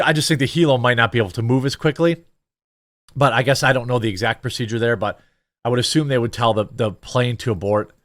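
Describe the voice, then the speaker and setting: Male commentator, mid-range voice, dry close-mic sound, conversational and hesitant, thinking out loud as he walks through footage. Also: mid-range voice, no echo. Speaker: commentator and show host. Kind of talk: casual news commentary over footage.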